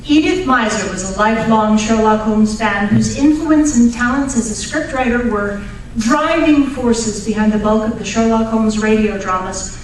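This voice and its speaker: A woman reading a formal, measured introductory speech as director of a drama troupe at a live event. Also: mid-range voice, darkish tone. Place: echoey event hall with live audience. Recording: very echoey hall, distant, faint noise.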